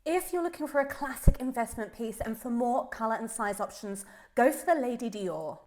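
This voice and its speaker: A woman with a fairly high voice reviews a handbag in a chatty, enthusiastic vlog. She is stuffed up with a cold, so everything comes out nasal. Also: animated, moderate pace, a little mellow. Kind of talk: casual product review vlog.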